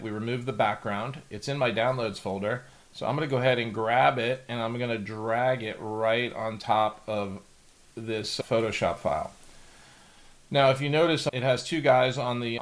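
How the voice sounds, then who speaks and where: A middle-aged American man hosting a casual step-by-step screen tutorial in a plain-spoken, instructional way. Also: medium pitch. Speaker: tutorial host.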